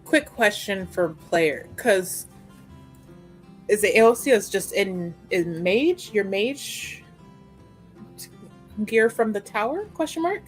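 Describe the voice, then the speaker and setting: A woman's voice: medium pitch, expressive, takes it slowly, pauses often, soft background music. A woman playing a tabletop game, chatting casually and describing what her character does. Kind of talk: casual roleplay chat and narration.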